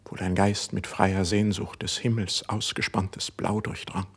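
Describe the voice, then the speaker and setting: A young poet of a classic radio drama, proud and deeply wounded. His voice sits low, with a breathy, husky grain. He speaks verse with measured, brooding intensity. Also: exaggerated voice. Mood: anguished, dazed.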